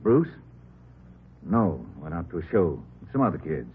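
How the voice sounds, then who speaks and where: A man acting a surly, evasive middle-aged husband with an American accent, gruff and curt, in a 1950s radio drama. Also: low voice, very animated, unhurried, phone-quality sound. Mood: guarded. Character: surly, evasive nursery owner, defensive husband and stepfather. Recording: band-limited old radio recording, background noise.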